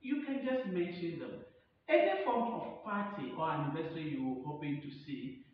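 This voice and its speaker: A man's voice: lively intonation, normal speed, lightly acted. A man in his thirties playing a chatty, eager receptionist recommending a place in a promotional skit, recorded in a tiled room. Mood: eager, helpful. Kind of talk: acted promotional dialogue. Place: indoors, tiled front room. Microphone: distant camera or phone across the room. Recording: echoey room, distant dull mic, rumbling noise.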